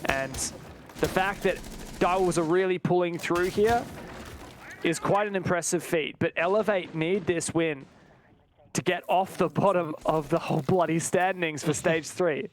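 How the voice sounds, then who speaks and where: A man's voice: high voice, normal speed, slight background noise. A man commentating live on an esports match, mixing play-by-play with analysis.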